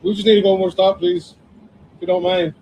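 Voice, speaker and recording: A man's voice: sits high, flat delivery, quick pace, mellow, muffled recording. A man, a bus passenger in a heated argument, insistent and accusatory, repeating himself, on a noisy phone video. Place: inside a moving city bus. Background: bus and vehicle noise.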